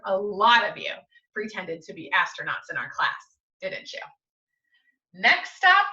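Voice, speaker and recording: A woman teacher reading a picture book to young children in an expressive, coaxing way, often turning to them with questions. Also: on the high side. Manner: expressive, coaxing, asks frequent tag questions. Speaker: schoolteacher reading to young pupils. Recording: roomy echo, distant mic, muffled audio.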